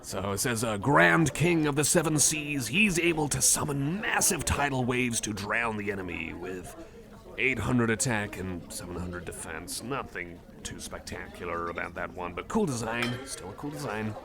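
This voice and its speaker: A man's voice: lowish voice, expressive, moderate pace, creaky. A man playing a friendly, nerdy cyborg card enthusiast in a casual ASMR roleplay, rambling hesitantly and apologetically. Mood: geeky, enthusiastic. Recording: cafe crowd chatter behind. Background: busy cafe chatter.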